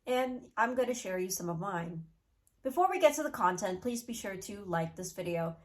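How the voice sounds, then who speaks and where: A young woman with a sick, nasal voice, talking earnestly to her channel and giving reflective advice in a casual vlog. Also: medium pitch. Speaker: YouTuber.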